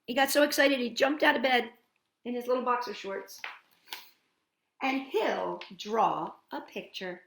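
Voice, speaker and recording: A woman hosting storytime, reading a picture book aloud to young children in an animated, playful way with chatty asides. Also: a little high, measured pace, gravelly, roomy sound.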